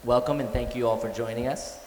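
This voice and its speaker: A man moderating a conference panel, introducing guests in a measured, formal way with some fillers. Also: mid-range voice, steady tone, darkish tone. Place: conference hall. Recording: echoey hall with faint noise.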